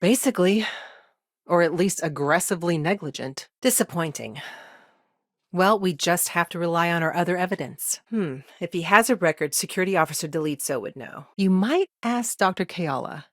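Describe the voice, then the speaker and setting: An actress playing a busy, dry-witted woman lawyer in a video game, dogged but friendly, with a wry, conversational and measured delivery. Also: on the low side, animated, dry recording. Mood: focused, earnest. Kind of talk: game dialogue lines, naturalistic acting.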